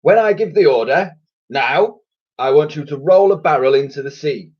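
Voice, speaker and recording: Male reader as a pompous old admiral; booming, bossy, husky edge. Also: lively intonation, normal speed, muffled recording. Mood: blustering. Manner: booming, bossy, thunders orders.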